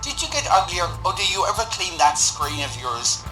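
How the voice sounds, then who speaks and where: A man's voice: high voice, very animated, normal speed, reverberant, over music, poor audio. A man playing a cheeky morgue clerk in an audio drama, full of teasing, matey banter. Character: cheeky male morgue clerk, an old friend.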